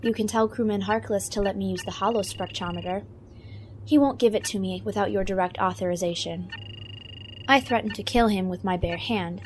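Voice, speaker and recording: A blunt, defensive starship intelligence officer acted by a woman, with a medium-pitched voice. The delivery is that of science-fiction audio drama, over faint background noise. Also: a little mellow, lightly acted. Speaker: voice actor in fan audio drama.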